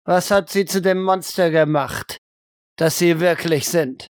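A man's voice: on the high side, steady tone, a little slow, dry recording, studio quality, lightly acted. A man voicing a harsh, impatient doctor who interrogates a prisoner with formal, accusing demands in a roleplay audio drama. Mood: accusing, demanding.

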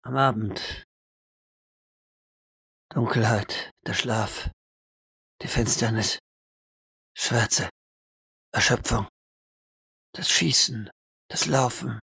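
A man's voice: mid-range voice, fairly even intonation, very slow, gravelly, pauses often, bright, forceful tone, breathy edge, dry recording. A man narrating an improvised audio drama as game master, in terse, ominous fragments.

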